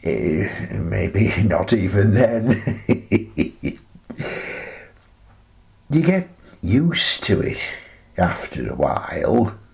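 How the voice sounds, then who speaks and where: A wry, contented older male recluse, played by an actor in a radio drama monologue. His voice sits low and creaks with fry. He takes his time, chatting and musing with gentle amusement at a very unhurried pace. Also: very animated, full character voice. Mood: chatty, wry.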